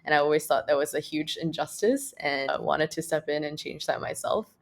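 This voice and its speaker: A woman's voice: low-pitched, fairly quick, creaky. A young woman from Malaysia, a startup co-founder, chatting casually as an interview guest with lots of fillers and restarts. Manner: relaxed, many fillers and restarts.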